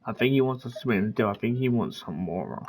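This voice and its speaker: A man's voice: medium pitch, fairly quick, crowd noise. A man streaming a video game, chatting casually and reading dialogue aloud as he plays. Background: game music.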